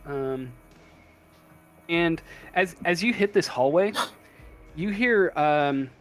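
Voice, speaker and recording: Slow, halting male game master. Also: a little high, lively intonation. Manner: halting, thinking aloud. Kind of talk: improvised tabletop game narration. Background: faint music.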